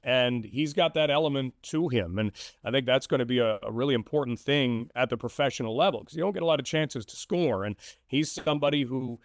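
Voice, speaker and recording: Man giving measured sports analysis, dry sound. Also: mid-range voice, no room sound. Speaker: radio play-by-play sports broadcaster as guest. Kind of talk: conversational hockey analysis.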